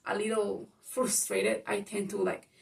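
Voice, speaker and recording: Woman with a spanish-speaking accent rambling about her life, gravelly loud voice, kinda far from the mic. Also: mid-range voice, level tone, measured pace. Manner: rambling, lots of fillers, grows loud when reenacting arguments. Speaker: vlogger. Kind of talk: personal storytelling monologue.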